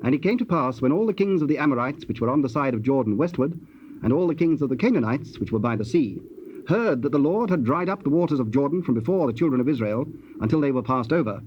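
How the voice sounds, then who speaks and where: A man narrating a dramatized scripture, formal and measured in his storytelling. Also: medium pitch, expressive. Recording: dull old cassette, faint noise.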